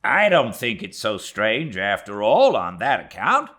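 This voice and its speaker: Junior whaling officer played by a male reader: matter-of-fact, argumentative, animated delivery, classic novel narration. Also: fairly low pitch, unhurried, pitched above the performer's own voice, full character voice. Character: Flask, stubborn matter-of-fact junior ship's mate, adult man. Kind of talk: classic sea novel read aloud.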